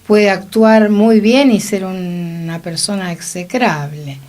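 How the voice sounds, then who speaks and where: Slow-talking Argentine woman. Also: medium pitch, fairly even intonation, a little mellow. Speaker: radio show panelist. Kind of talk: conversational discussion.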